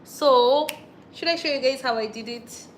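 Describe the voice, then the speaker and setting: A woman's voice: high-pitched, normal speed, a little hiss or hum. A young woman from Kenya, chatting casually on a livestream and answering viewer comments as they come. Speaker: YouTube vlogger on a livestream.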